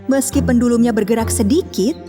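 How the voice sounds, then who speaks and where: A woman narrating a children's cartoon fairy tale in a calm, measured storytelling voice. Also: fairly high pitch. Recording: long reverb with music behind.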